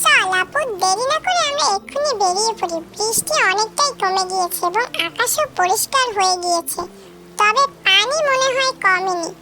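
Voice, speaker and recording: A woman's voice: very high voice, expressive, moderate pace. A woman voicing a thoughtful, kind little village boy in a cartoon, speaking in an earnest, eager manner. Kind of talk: cartoon story acting.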